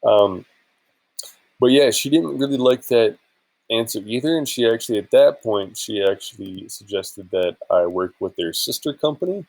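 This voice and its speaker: A man's voice: on the low side, normal speed, a little mellow, no echo, rough recording. A man chatting casually in an informal, rambling and hesitant way for a screen-share vlog, sounding a bit tired. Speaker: vlogger and affiliate marketer.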